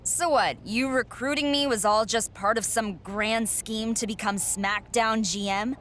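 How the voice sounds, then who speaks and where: A woman's voice: fairly high pitch, steady tone, unhurried, fairly bright tone, slight background noise. An actor playing a defiant, frustrated young female wrestler, insistent and indignant, in a video game cutscene. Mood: defiant anger.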